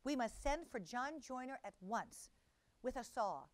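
A woman voicing a stern, sensible female cat neighbor who speaks brisk and firm. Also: on the high side, animated, no echo.

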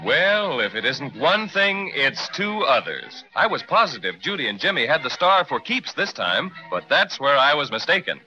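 An actor playing a confiding, concerned storyteller announcing a 1930s children's radio serial. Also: male voice, fairly high pitch, big pitch swings, moderate pace, hardly any pauses. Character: dramatic, concerned male storybook narrator. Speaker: announcer, narrator. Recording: dull 1930s radio transcription. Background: music.

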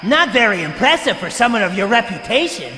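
A man's voice: sits high, sing-song, a little fast, crowd noise. A man voicing a smug, taunting teenage boy bird, a boastful racer in a video game. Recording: loud music behind.

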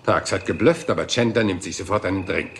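An actor playing a dogged middle-aged police inspector in a radio crime drama, patient and musing, circling back to small details. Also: male voice, sits low, a little fast, a little hiss or hum. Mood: calm.

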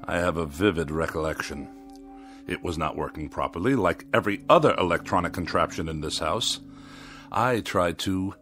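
An actor playing a pompous, irascible writer who despises machines, speaking in wordy, sneering sarcasm in a radio drama. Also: male voice, low voice, normal speed, fairly bright tone, faint music behind. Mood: contemptuous.

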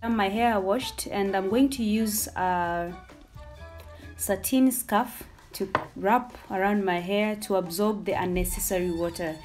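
A woman's voice: mid-range voice, a little mellow. A young woman whose first language is Swahili, chatting in a casual hair tutorial, explaining each step and slipping Swahili words in. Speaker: hair vlogger.

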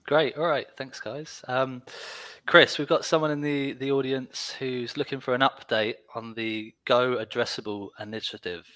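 Man, panel host, headset mic, brisk, joking asides, dry sound. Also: mid-range voice, fairly even intonation, a bit bright, no echo. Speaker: host and moderator. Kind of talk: moderating an online panel.

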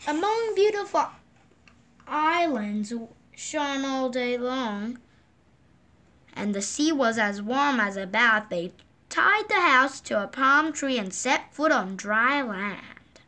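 A woman with a high, clear, bright voice reads a children's storybook aloud. She takes her time, pausing between phrases. She lifts her voice for each character's quoted line. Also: lively intonation. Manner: slow, gentle read-aloud pacing with lifted voices for quoted lines. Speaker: storybook reader. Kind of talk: reading a picture book aloud. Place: indoors at a table. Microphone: phone or camera filming the book from above.

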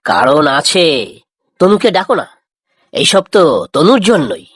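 A woman voicing a kind, patient village farmer father in a moral cartoon, gently coaxing and reassuring. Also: on the low side, big pitch swings, a little slow, husky, no echo, clean studio sound, exaggerated voice. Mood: eager, planning. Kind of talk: Bengali moral cartoon dialogue.

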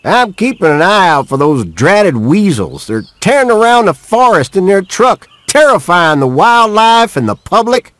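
A man's voice: high voice, very animated, moderate pace, few pauses, a bit bright, no room sound. A man playing an exasperated, grumbling park ranger in a video game cutscene.

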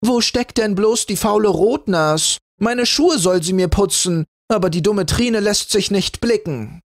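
A man voicing a vain, spiteful young woman in a fairy tale who scolds in a shrill voice. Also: expressive, unhurried, no echo, clean studio sound. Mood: scolding.

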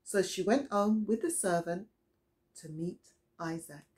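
A middle-aged woman reading a children's Bible story aloud as a storyteller, deliberate and expressive, recorded indoors in a small room. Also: fairly low pitch. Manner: deliberate, expressive storytelling with questions to young listeners.

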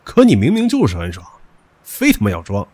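A man's voice: on the low side, huge pitch swings, fairly quick, darkish tone, dry recording. A man playing a stubborn, possessive young suitor in a modern audio drama, talking in a cocky, crude, pushy way. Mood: taunting, demanding. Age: young adult.

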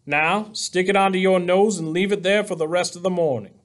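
A man voicing a school superintendent who speaks in a calm, measured, commanding manner in a story. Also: sits high, pitched above the performer's own voice, natural voice. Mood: calm, stern. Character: calm, firm male school superintendent in authority.

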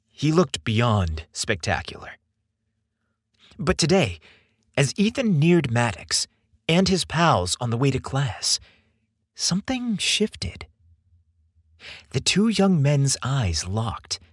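Male narrator, slow measured storytelling, animated pitch, theatrical phrasing, dry close sound. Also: no room sound. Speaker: podcast storyteller. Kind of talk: scripted narration, dramatic storytelling.

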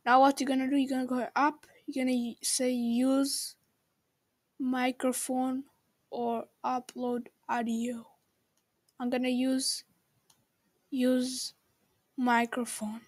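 A woman hosting a casual how-to screen recording, speaking haltingly and repeating words as she goes. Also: high voice, level tone, a little mellow, no echo. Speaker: tutorial host.

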